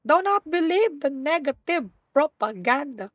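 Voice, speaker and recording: A woman with a husky voice, hosting a live stream and rambling playfully while she plays. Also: high-pitched, big pitch swings, unhurried, a bit bright, no echo, studio quality. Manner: rambling, self-correcting, playful. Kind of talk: casual live-stream chat while gaming.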